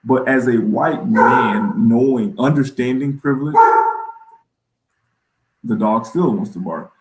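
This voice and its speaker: Male moderator, conversational, halting and roundabout with questions, recorded in a very echoey room. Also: mid-range voice, very noisy. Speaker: host, moderator.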